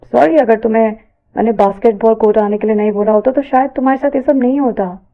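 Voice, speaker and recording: A woman voicing a gentle, earnest young college man in an audio story, soft and a little hesitant. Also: on the high side, fast talker, phone-quality sound, close to an everyday voice. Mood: concerned. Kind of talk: audio story character dialogue.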